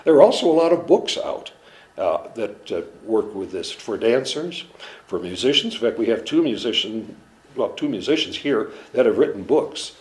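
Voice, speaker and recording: A man who treats musicians and dancers, giving a measured, earnest, halting explanation in an interview. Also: fairly low pitch, lively intonation. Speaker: clinician in performing arts medicine.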